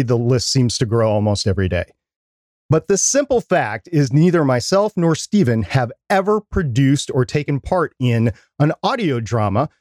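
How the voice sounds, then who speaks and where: A man's voice: a little high, a little mellow, no echo, clean studio sound. A man co-hosting a podcast, presenting prepared segments and interview questions. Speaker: podcast co-host.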